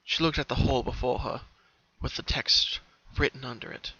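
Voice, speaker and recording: Creaky-voiced man reading a dark story. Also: mid-range voice, fairly even intonation, moderate pace. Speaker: fan fiction reader. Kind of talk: dramatic scripted story narration. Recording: dull, muffled home recording.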